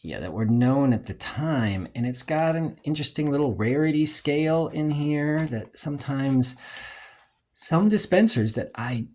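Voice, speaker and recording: A collector giving a casual, unscripted review in a hesitant, rambling way, often trailing off and clearing the throat, with a husky, creaky voice. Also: mid-range voice, expressive, a little slow, darkish tone. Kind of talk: casual unscripted show-and-tell.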